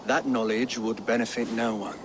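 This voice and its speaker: A man's voice: medium pitch, little pitch movement, moderate pace, background noise, lightly acted. An actor playing a humbled Saxon king, formal and restrained, in a dramatic game scene. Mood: guarded. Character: humbled, guarded Saxon king living as a servant. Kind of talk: dramatic game cutscene acting.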